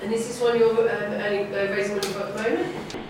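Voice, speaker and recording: A woman's voice: medium pitch, little pitch movement, quick pace. A woman informally hosting a panel event as its moderator. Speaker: panel host. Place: indoor event room at a film festival panel. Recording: echoey room from far off, noisy.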